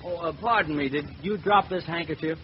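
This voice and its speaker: A man's voice: a little high, fairly quick, slight background noise, natural voice. A man acting a casual male stranger in 1940s radio comedy. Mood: casual, friendly. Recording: dull old radio with music, audience.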